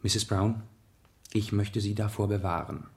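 A shrewd gentleman detective in a radio play. His fairly low voice stays polite and measured, choosing words precisely while gently insinuating that he knows more. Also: vocal fry. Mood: coolly persuasive. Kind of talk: German radio play acting.

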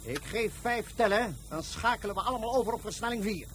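An actor playing a resolute, exacting fleet commander in a radio drama, giving clipped, authoritative orders. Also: male voice, high-pitched, fairly quick, fairly bright tone, slight background noise. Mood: tense, controlled.

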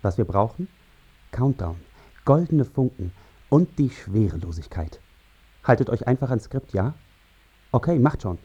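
An actor playing a commanding male astronaut and mission leader in a radio play, with declamatory, deliberate delivery. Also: medium pitch, big pitch swings, husky. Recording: dull sound under loud music.

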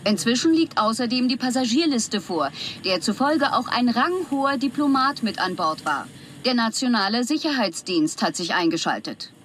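A woman's voice: fairly high pitch, big pitch swings, moderate pace, a bit bright, slight background noise. A woman playing a factual television news reporter, delivering a scripted report in a radio drama. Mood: sober, factual.

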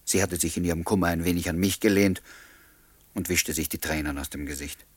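A man's voice: low-pitched, a little fast, slightly rough, no echo. An actor playing an earnest, lovelorn writer who confides his story in a radio play. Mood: wistful, confiding. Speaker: narrator and lead character. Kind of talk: radio play, confiding first-person narration and dialogue.

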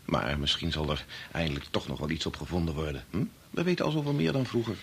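A man's voice: a bass voice, expressive, quick pace, gravelly, a bit bright, slight background noise, full character voice. A Dutch actor from the Netherlands playing an earnest, worn-out doctor and young father in a radio play, stammering when pressed. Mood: weary, earnest.

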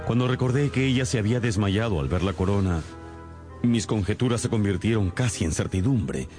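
An actor playing a shrewd, self-assured detective in a radio drama, explaining things in a deliberate, theatrical manner. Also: male voice, on the low side, a little mellow, soft background music, lightly acted. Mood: assured, explanatory.

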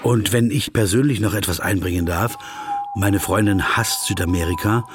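A man's voice: deep voice, normal speed, over music, close to an everyday voice. A man acting a pedantic, brooding, awkward corporate lawyer in a German radio drama, fussy and dryly ironic in his digressions. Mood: fussy, digressive. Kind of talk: German radio drama, dialogue and inner monologue.

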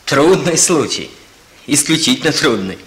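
A man's voice: mid-range voice, expressive, moderate pace, background noise, close to an everyday voice. An actor in a Soviet radio drama playing a frank, respectful police officer reporting plainly and emphatically to his chief. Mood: concerned, emphatic.

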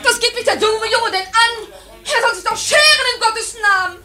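A woman playing a flustered, indignant young bride in a German radio play, excitable and plaintive, protesting her innocence. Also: very high-pitched, moderate pace. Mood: scornful. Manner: excitable, plaintive, breaks off and restarts. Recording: crowd noise behind the voice. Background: crowd noise.

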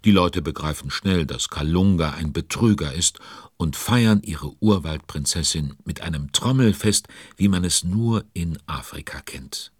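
A man's voice: low-pitched, lively intonation, unhurried, hardly any pauses, darkish tone, slightly rough, no room sound, studio quality, heavily acted. A man narrating a children's audio story, chatty and speaking directly to young listeners.

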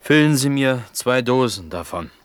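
A simple, stubborn potter in a radio drama, acted by a man. His mid-pitched voice is plain and cautious, recorded very dry. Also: lively intonation, moderate pace, no echo, natural voice. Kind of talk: German radio play acting.

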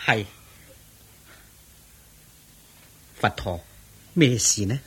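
An actor playing a protective, dutiful monk attendant in a radio drama, with a husky voice. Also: male voice, on the low side, animated, unhurried, exaggerated voice. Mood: dutiful, concerned. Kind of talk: Cantonese radio drama acting.

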